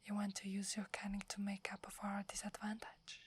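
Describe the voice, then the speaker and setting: A woman with an Italian accent playing a submissive, earnest female werewolf in a soft, breathy, hesitant ASMR whisper. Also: mid-range voice, monotone, a little hiss or hum, close to an everyday voice. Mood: deferential. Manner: hesitant, respectful, measured.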